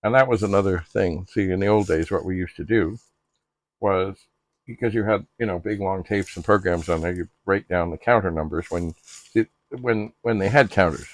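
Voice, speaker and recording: A middle-aged man narrating a casual hands-on demonstration, musing haltingly aloud to himself with droll, slightly formal turns of phrase. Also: sits low, dry recording, poor audio. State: frequent coughing and throat clearing. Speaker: retro computer hobbyist and video host.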